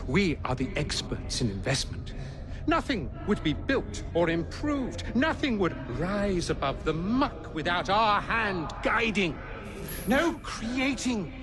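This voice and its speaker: Ruthless Victorian moneyman, raised pitch, haughty, declamatory, game drama, music behind. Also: exaggerated voice. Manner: pompous, declamatory. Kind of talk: dramatic video game acting.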